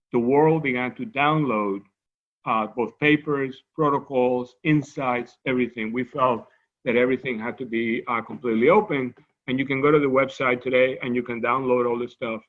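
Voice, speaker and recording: A man with a Cuban Spanish accent gives a scientific lecture at a mid-range pitch. He talks loosely and conversationally, restarting phrases and dropping in asides. The sound is a bit dull and lo-fi. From Cuba. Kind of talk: academic lecture.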